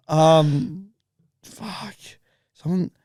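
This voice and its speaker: A young man with a raspy voice, co-hosting a podcast in casual chat, swearing and laughing through lively stories. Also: a little high, drawn-out words, dry recording, studio quality. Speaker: podcast co-host. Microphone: studio podcast mic.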